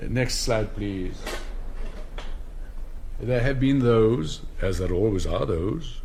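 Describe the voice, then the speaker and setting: An actor playing a pompous elderly English headmaster who lectures in a mannered, declamatory, theatrical way. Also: male voice, mid-range voice, a little mellow.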